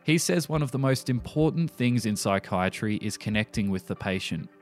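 A man's voice: on the low side, lively intonation, normal speed. Male podcast narrator over music. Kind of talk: scripted narration.

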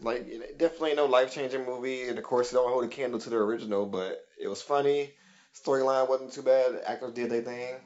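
A man's voice: medium pitch, steady tone, fast talker, a little mellow, dull audio. Laid-back podcast host, man. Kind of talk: casual chat.

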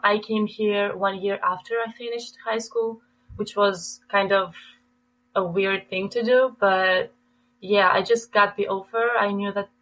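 Young raised woman, relaxed and chatty. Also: mid-range voice, rough recording. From Greece. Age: 20s. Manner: relaxed, lots of "like" fillers. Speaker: college volleyball player guest. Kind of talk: casual interview answers.

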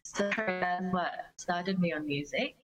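A woman's voice: on the low side, slow pace, over music, low-quality recording. A young woman, a singer-songwriter, chatting casually as an interview guest.

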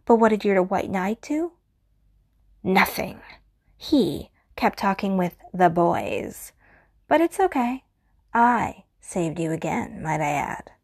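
A woman's voice: medium pitch, expressive, slow pace, creaky, husky, heavily acted. A woman voicing an obsessive, possessive stalker who kills for love, speaking intimately and often in a menacing whisper in an audio roleplay.

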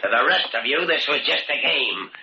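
Gravelly, menacing radio killer. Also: male voice, on the high side, animated, a little fast. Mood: cold, menacing. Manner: menacing, commanding. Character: cold, desperate murderous hotel keeper holding men at gunpoint. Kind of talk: 1940s radio crime acting. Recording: noisy, muffled, echoey old radio recording.

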